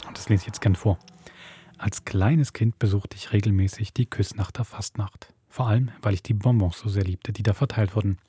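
Low, mellow Swiss male host. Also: steady tone, slightly rough, no room sound. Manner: measured storytelling. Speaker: podcast host. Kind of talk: reading a listener's spooky story aloud.

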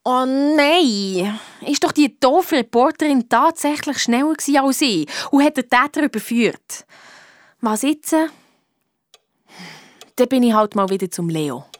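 A cheeky, stubborn schoolgirl sleuth with a high voice and a Swiss accent. She talks colloquially, as in a children's detective radio play, recorded close and dry. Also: unhurried, no echo. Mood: frustrated. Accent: Swiss German. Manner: animated, colloquial storytelling. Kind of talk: German audio drama narration and dialogue.

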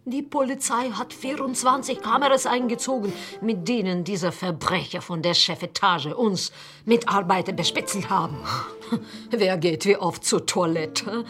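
A woman's voice: mid-range voice, normal speed, a bit bright, faint music behind, close to an everyday voice. An actress playing a scheming middle-aged cleaner and proud mother in a radio play, with a conspiratorial, theatrical manner.

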